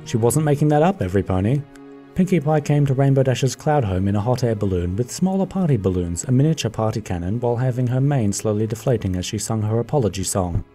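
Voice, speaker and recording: A man's voice: mid-range voice, animated, quick pace, dark tone, soft background music. A man giving scripted, comedic storybook narration for a radio play.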